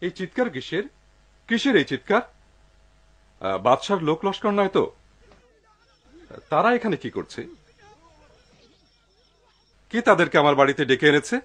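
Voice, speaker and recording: A man's voice: fairly high pitch, sing-song, normal speed, long pauses, fairly bright tone. A man voicing a kindly, trusting older householder in a cartoon. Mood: astonished. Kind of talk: dubbed cartoon acting.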